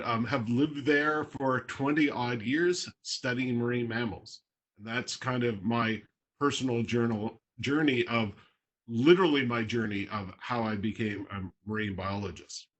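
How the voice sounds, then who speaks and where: A man's voice: medium pitch, a bit bright. A man from Toronto, Canada, a marine biologist talking casually on a video call, explaining in plain words with a few fillers.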